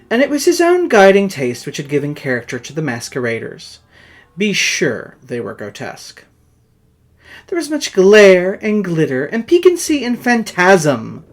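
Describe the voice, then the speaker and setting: A man narrating a horror story in a solemn, theatrical manner, swelling and hushing his phrases. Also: a little high. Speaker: story reader. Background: music under the voice.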